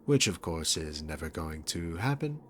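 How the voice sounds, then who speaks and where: A man's voice: extremely low pitch, very animated, a bit bright, slight background noise, heavily acted. A man playing a smug, sarcastic, dominant demon king villain in an ASMR roleplay, mocking and condescending as he addresses the listener. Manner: mocking, condescending, unhurried, punctuated by chuckles.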